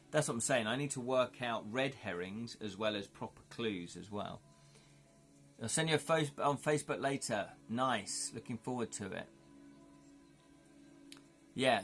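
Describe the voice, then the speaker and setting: A man's voice: medium pitch, a bit bright. Chatty man rambling on stream. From United Kingdom. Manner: rambling, chatty, thinks aloud, often says "you know". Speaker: livestream host. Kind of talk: casual chat with viewers.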